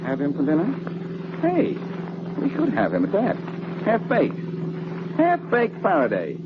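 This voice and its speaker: An actor playing a cocky, teasing amateur detective in 1940s radio style. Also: male voice, sits high, wildly swooping pitch, a little slow, exaggerated voice. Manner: breezy, teasing. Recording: muffled, very noisy old radio recording.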